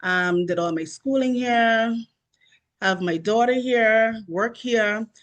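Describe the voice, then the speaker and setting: A woman's voice: fairly high pitch, takes it slowly, lo-fi sound. A woman from the Bahamas with a Bahamian accent, an author answering questions in casual interview chat.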